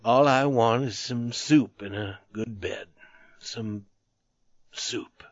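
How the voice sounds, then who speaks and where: A man voicing a weary, wounded older soldier who speaks low and hushed in a dazed, musing way. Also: measured pace, no echo, lo-fi sound. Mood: quiet, weary.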